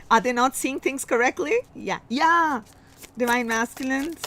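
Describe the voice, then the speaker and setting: A woman's voice: high-pitched, unhurried, slight background noise. Woman with an Indian accent reading tarot. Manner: musing, warm, reassuring, with self-interruptions. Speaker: tarot reader.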